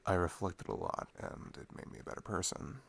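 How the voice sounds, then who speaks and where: A man playing an affectionate, reflective boyfriend in an intimate ASMR roleplay, speaking softly and tenderly with hesitant pauses. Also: low voice, very animated, gravelly. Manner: soft, hesitant, tender, pausing between phrases.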